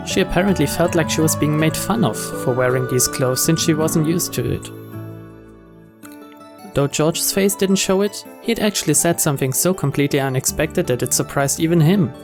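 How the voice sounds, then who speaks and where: A man hosting a let's play, reading a visual novel's narration aloud. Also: a little high. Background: game music.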